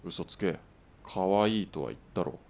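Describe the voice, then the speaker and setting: A man playing a terse, stubborn ex-soldier hitman in a radio drama, with a blunt, deadpan delivery. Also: sits low, normal speed, vocal fry, a little hiss or hum. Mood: grumbling.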